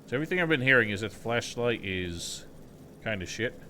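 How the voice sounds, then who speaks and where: A man's voice: mid-range voice, expressive, fairly quick, pushed and bright, slight background noise. A man giving casual, wry and sceptical running commentary on a video game livestream.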